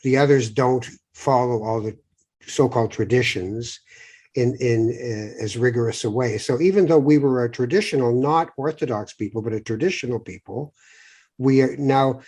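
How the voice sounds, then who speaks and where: A husky-voiced middle-aged man from Morocco hosts a relaxed podcast interview. He tells stories in an animated way, often stopping and restarting, and acts out the people he quotes. His pitch sits right in the middle for a man. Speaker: podcast host. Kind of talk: conversational interview.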